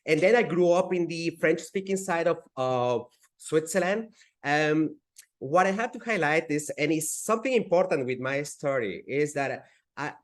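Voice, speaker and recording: A man in his forties from Peru with a Spanish accent, speaking as a guest in an interview, with frequent restarts and halting phrasing. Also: a little high. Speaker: guest entrepreneur. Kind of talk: conversational interview.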